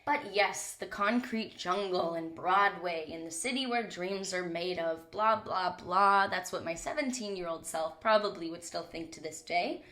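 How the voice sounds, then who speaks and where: A woman's voice: on the low side, a little slow, few pauses, bright, forceful tone. A young woman hosting her own podcast, talking candidly and casually with some swearing, her voice a little stuffy from a cold, recorded on a mic in a small closet. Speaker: podcast host. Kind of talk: solo podcast monologue.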